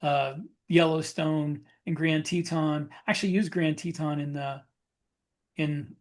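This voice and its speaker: A man chatting casually as a podcast co-host, thinking aloud in a halting way with repeated phrases. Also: fairly high pitch, steady tone. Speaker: podcast co-host and science fiction author.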